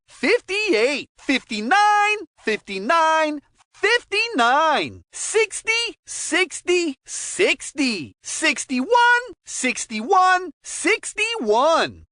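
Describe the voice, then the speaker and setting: A very high, lively cartoon sports commentator for a kids' hockey video game. The calls are short and emphatic, with intonation that swings up and down, delivered at an unhurried pace in a dry, close recording. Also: female voice, no room sound, full character voice.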